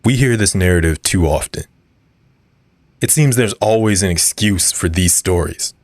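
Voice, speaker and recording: Actor as a low-voiced, earnest activist. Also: male voice, no echo, lightly acted. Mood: resolute. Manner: measured, earnest. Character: earnest young male community activist, calm and resolute. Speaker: radio show guest. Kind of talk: scripted audio drama interview.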